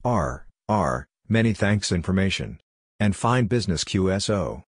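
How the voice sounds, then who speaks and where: Deep male voice, kinda husky, reads clipped little phrases one at a time like a practice drill. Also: very animated, no echo, studio quality. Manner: measured, clipped phrase-by-phrase delivery. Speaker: narrator of Morse code practice phrases. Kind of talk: scripted readout of short radio phrases.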